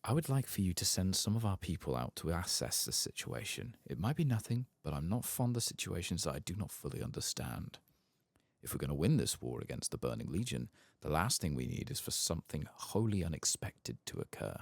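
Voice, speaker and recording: A man softly reading out the lines of a guarded, calculating fantasy spymaster in a game. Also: low-pitched, sing-song, brisk, creaky, dry recording, studio quality, heavily acted. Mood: guarded, concerned. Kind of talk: soft-spoken reading of game quest text.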